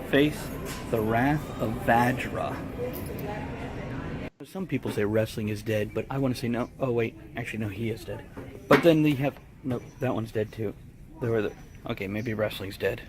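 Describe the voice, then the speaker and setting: A man giving casual, joking commentary on products in a crude, wisecracking manner. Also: medium pitch. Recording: noisy background ambience.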